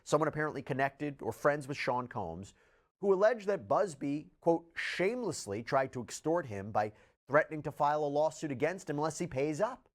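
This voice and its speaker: A man's voice: a little high, lively intonation, moderate pace. A man delivering emphatic, explanatory legal news analysis, like a commentator.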